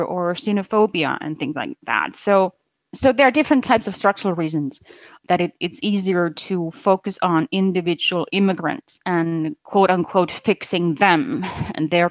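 A woman's voice: on the low side, no echo. A woman relocation specialist answering interview questions thoughtfully, sometimes repeating words as she thinks.

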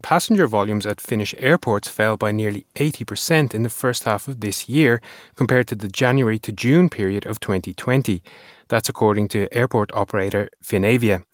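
A man's voice: fairly low pitch, no echo, studio quality. A man with an Irish accent co-hosting a weekly news show, reading headlines and chatting with his co-host. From Ireland. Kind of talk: scripted news reading and casual banter.

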